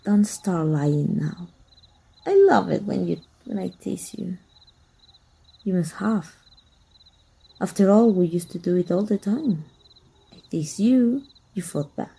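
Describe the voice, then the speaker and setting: A woman playing a teasing, wistful and flirty female vampire hunter with a breathy, husky voice in an intimate ASMR roleplay. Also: lowish voice, lively intonation, exaggerated voice. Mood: taunting, flirty. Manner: slow, teasing, softly taunting.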